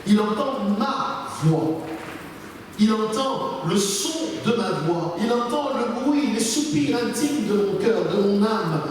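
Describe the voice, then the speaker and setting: High-voiced male preacher in an echoing hall. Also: expressive. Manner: earnest, deliberate, repeats phrases for emphasis. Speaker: pastor preaching. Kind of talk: sermon with scripture readings. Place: large reverberant church hall. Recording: echoey hall, distant mic, faint noise.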